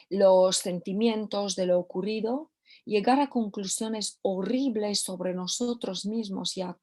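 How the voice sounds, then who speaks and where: A woman's voice: medium pitch, darkish tone. A woman teaching a recorded course lesson, often repeating key phrases for emphasis. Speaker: course instructor.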